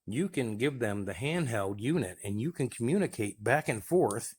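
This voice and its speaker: A man's voice: mid-range voice, expressive, moderate pace, a little mellow, husky. An American man giving a casual, plain-spoken, folksy demonstration of his gear. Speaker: radio hobbyist presenting his gear.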